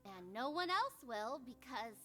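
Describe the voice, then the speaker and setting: High-pitched, hot-tempered magical girl. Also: sing-song, measured pace, bright, forceful tone, soft background music, full character voice. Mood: despairing. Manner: dramatic, sarcastic, exasperated outbursts. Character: hot-tempered, self-pitying young magical girl in distress. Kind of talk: visual novel character voice acting.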